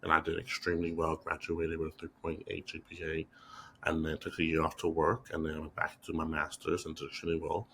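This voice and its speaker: A young man from the Bahamas, a PR agency president, answering interview questions in a measured, reflective way with frequent fillers. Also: a bass voice, completely flat delivery, creaky, fairly bright tone, a little hiss or hum.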